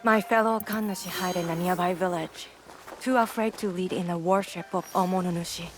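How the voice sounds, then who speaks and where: An actress playing a devout shrine priest who speaks formally and cryptically in a video game cutscene. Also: female voice, on the low side, fairly even intonation, a little fast, a little hiss or hum, natural voice. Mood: grave. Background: music.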